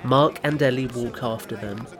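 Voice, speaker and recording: A man's voice: medium pitch, moderate pace. Man narrating an audio drama over music.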